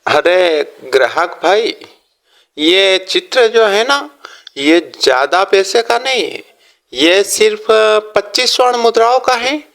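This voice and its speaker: Male narrator as greedy merchant, high raised voice, sly, wheedling, folksy exclamations, cartoon moral tale. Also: sing-song, slow pace, fairly bright tone, exaggerated voice. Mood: persuasive selling.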